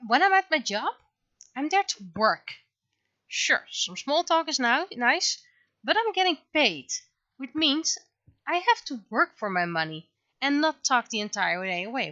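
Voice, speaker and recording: A woman livestreamer chatting casually and venting in an animated, exasperated way, acting out imagined exchanges as she goes. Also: a little high, dry recording, dull audio. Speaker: livestreamer, webcomic artist. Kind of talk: casual livestream chat, ranting.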